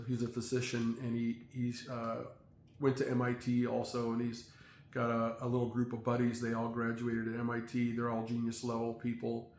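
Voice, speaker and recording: A middle-aged man rambles casually to the camera, stopping and restarting often around his fillers. His voice is gravelly and stays level in tone. The sound is echoey, with faint ambience behind it. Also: mid-range voice, a little hiss or hum. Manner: halting, many fillers and restarts. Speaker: vlogger.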